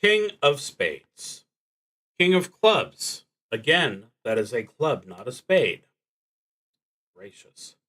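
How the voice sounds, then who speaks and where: A man streaming a solo tabletop roleplaying game, narrating and thinking aloud in a halting, deliberate way with long pauses. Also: mid-range voice, big pitch swings, fairly bright tone, poor audio.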